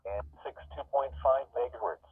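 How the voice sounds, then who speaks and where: A man's voice: medium pitch, normal speed, breathy edge, no echo. A man reading a scripted weather forecast as a radio announcer, heard through a small radio speaker. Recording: tinny, narrow-band radio playback.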